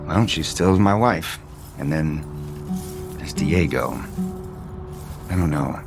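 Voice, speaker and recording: A man's voice: extremely low pitch, slow pace, vocal fry, music in the background, heavily acted. A male actor playing a guarded, conflicted adult son and unhappily married father in an audio soap opera. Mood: hesitant. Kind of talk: naturalistic soap opera acting.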